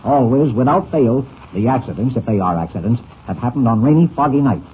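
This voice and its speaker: Actor as brusque, fast-talking newspaper editor. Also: male voice, medium pitch, animated, narrow, tinny audio, natural voice. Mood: grave, intrigued. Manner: impatient, emphatic, rapid clipped phrases. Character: brusque, gruffly excitable male newspaper editor, older. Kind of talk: 1940s radio serial acting. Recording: scratchy, thin 1940s radio recording.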